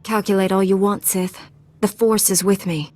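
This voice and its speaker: A woman's voice: mid-range voice, fairly even intonation, normal speed, darkish tone, natural voice. An actress playing a principled female Jedi knight in a video game, speaking in an earnest, firm, composed way. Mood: defiant.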